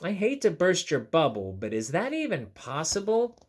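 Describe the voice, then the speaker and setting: A friendly, chatty storybook jellyfish with a bouncy, playful manner, voiced by a man. The voice sits above a normal register, with lively swings in pitch. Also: moderate pace. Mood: curious.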